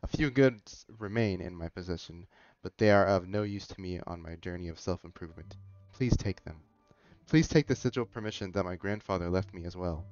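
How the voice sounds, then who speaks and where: A man's voice: low-pitched, brisk, a little mellow, no echo. A man giving casual game commentary and reading dialogue aloud, on a dull-sounding close mic with faint music. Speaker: gamer commentating a playthrough.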